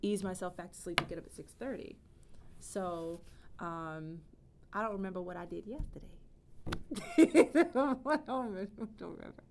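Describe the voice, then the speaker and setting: A woman in her thirties talks in a relaxed, animated way, gesturing and breaking into laughs. Her voice sits low for a woman, recorded indoors with a faint rumble underneath. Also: moderate pace. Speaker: stage actress and singer. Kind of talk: casual interview chat. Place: indoor room with wooden shelves.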